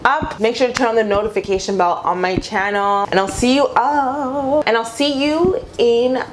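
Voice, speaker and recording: A woman's voice: on the high side, moderate pace, a little hiss or hum. A young adult woman chatting casually to viewers as a vlogger, giving advice in an informal way and repeating phrases for emphasis.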